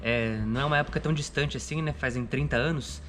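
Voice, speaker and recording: A man's voice: medium pitch, fast, a bit bright, a little hiss or hum. A Brazilian man, a cultural producer, talking casually in a making-of interview and often tagging phrases with little checks. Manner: frequent "né" tags. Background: background music.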